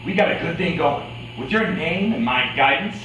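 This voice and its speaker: A man's voice: fairly high pitch, animated, moderate pace, a bit bright. A man in an amateur staged reading playing a scheming fight fixer, bossy and condescending, with a veiled menace. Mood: condescending, insistent. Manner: wheedling yet menacing, condescending. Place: echoey indoor room, staged reading. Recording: distant echoey room, faint noise.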